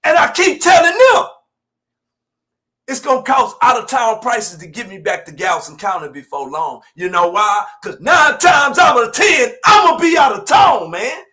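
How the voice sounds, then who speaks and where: A man in his forties delivers motivational preaching in a very high, sharp voice. He speaks straight to his listeners in plain colloquial talk, repeating key lines for emphasis and swinging between quieter asides and shouts. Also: big pitch swings, moderate pace. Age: 40s. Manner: colloquial, direct address to listeners. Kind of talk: fiery motivational preaching on a livestream.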